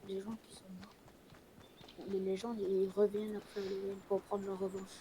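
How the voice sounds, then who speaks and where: A woman speaks with little pitch movement through a noisy amateur microphone. She improvises a roleplay during a video game in an informal, halting way. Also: completely flat delivery, warm tone. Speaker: player in a Fortnite zombie roleplay.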